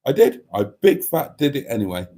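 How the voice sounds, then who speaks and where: A man hosting a casual live chat and reading out viewer comments, with a wry, deadpan delivery. He is snotty and has a cough. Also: medium pitch, brisk. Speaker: livestream host reading chat.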